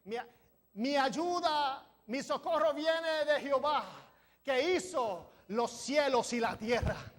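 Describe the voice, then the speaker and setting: Man, pastor, high voice, sermon, non-native speaker, stumbles over words, rising emphasis. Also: slow. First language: not Spanish. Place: church sanctuary.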